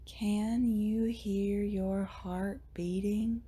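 Very slow, breathy woman guiding meditation. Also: mid-range voice, fairly even intonation. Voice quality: soft, breathy, often near whisper. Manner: gentle, soothing, long pauses between short phrases. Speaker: yoga and meditation guide. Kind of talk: guided meditation, slow and hushed.

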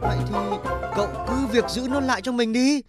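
A man acts out an older cartoon village chief, calm and gullible. He speaks with measured authority over loud music. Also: very high voice. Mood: calm advising. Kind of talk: comedy cartoon dub.

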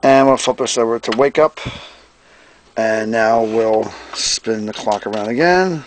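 A man giving a casual hands-on product demonstration as presenter, plain-spoken and folksy, often clearing his throat. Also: mid-range voice, unhurried. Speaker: presenter, radio restorer and seller. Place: indoor workbench.

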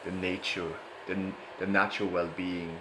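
A man leading an improvised guided meditation in a halting way, repeating words and drawing out phrases. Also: low-pitched, level tone. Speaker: meditation guide, host of a live session. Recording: echoey room, clear background noise.

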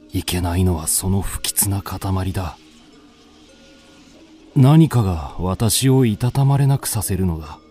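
An actor playing a brooding, melancholic man narrating his wanderings in a measured, dramatic radio drama monologue. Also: sits low, dark tone.

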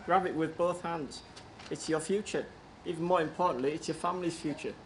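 A man's voice: on the high side, brisk, a little hiss or hum, lightly acted, low-quality recording. A man playing an earnest, reasonable voice of good conscience in an amateur radio play. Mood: earnest, urging. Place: large conference room before an audience.

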